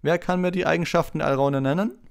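A man voicing a brisk, practical female herbology professor instructing her class in an audiobook. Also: fairly high pitch, gravelly, voice pushed up. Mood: brisk, instructive. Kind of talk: audiobook character voice.

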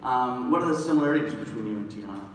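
Lively man hosting in a huge echoey hall. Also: medium pitch, racing. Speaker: panel host and voice director. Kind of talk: casual onstage interview. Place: large convention hall, on stage. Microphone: stage PA picked up from far back in the audience. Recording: echoey hall from far off, noisy crowd.

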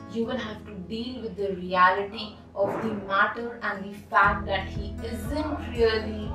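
A young woman vlogger speaking earnestly and emphatically to camera as she reads aloud and comments on a book. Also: a little high. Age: young adult. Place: bedroom, indoors. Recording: echoey room, distant mic, background music. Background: music.

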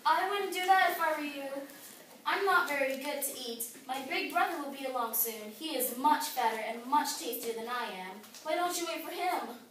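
Middle billy goat played by a woman, stubborn youngster, high and bright, distant echoey pickup. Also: moderate pace. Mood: nervous, bargaining. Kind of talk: children's fairy-tale play. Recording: echoey noisy room, far from mic.

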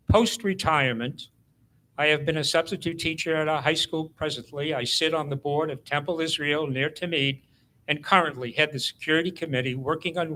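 A man's voice: a little high, bright, forceful tone, low-quality recording. An older man delivering a prepared campaign speech as a candidate for city council.

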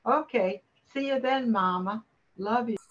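On a slightly muffled recording, a woman plays a dutiful grown daughter and mother. Her voice is a touch high and caring, in amateur radio drama style. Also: unhurried. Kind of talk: amateur radio play acting.

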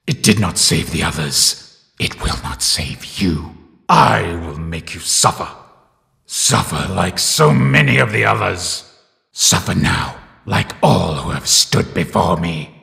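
A sadistic animatronic rabbit killer from a horror video game, growls with extremely slow, patient menace. The voice is low and full of heavy gravel, and it rings out in a long cavernous reverb. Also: male voice, animated, bright, forceful tone, full character voice. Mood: threatening. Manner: slow, drawn-out, menacing delivery. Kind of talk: video game villain voice lines.

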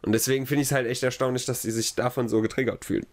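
Male podcast co-host, mid-pitched, casual and sardonic, loose rambling storyteller. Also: steady tone, racing.

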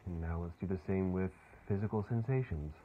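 A man leading a guided meditation in a soothing, unhurried way, leaving long pauses. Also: sits low. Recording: muffled phone-like sound with clear background noise.

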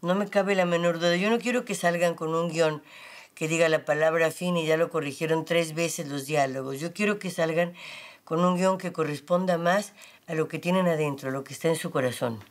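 A middle-aged woman advising on a screenplay in a meeting room, talking directly and with lively emphasis. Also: deep voice, normal speed. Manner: direct, animated gestures. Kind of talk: script consultation.

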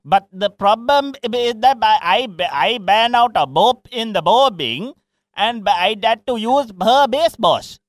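A man's voice: high voice, lively intonation, no echo. A man with a Sri Lankan accent voicing an anxious prank caller with a swollen face, slurred and stammering. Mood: anxious, pleading. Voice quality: slurred, tongue-tied speech. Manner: stammering, repetitive, halting.

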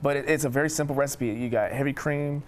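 A middle-aged man, a chef guest, explaining a recipe step by step in a relaxed way on a TV studio kitchen set. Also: mid-range voice, fast talker. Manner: relaxed, explains steps plainly. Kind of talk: casual cooking demo on daytime TV.

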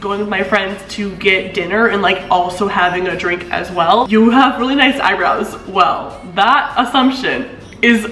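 A woman's voice: a little slow, a bit bright. Chatty young woman in an echoey room. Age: 20s. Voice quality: deeper voice for a woman. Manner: chatty, laughs often, swoops up in pitch. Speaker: vlogger. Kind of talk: casual chat reading comments. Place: living room of an apartment. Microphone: camera mic at a few feet.

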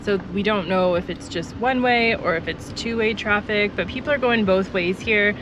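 A young woman vlogger talking to a handheld camera outdoors in a wooded park, giving a clear, practical tour of a campground with friendly tips. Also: medium pitch, moderate pace, noisy background. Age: young adult. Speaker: travel vlogger touring a campground. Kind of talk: informative park tour narration. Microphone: camera held at arm's length.

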